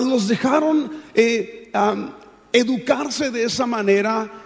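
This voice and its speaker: A man's voice: sits high, vocal fry. A middle-aged man with a Mexican accent preaching a sermon, teaching emphatically with repetition and rhetorical questions. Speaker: pastor preaching. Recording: reverberant large hall.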